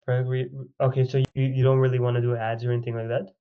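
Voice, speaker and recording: A man's voice: mid-range voice, completely flat delivery, rapid-fire, clean tone, phone-quality sound. A man hosting a consulting call, talking loosely with many "you know"s and "like"s. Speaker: consultant host. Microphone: video call.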